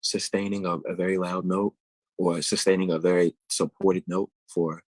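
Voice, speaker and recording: A man's voice: low voice, flat delivery, normal speed, no room sound, poor audio. A man, a singer answering interview questions and explaining vocal technique thoughtfully and at length. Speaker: guest singer.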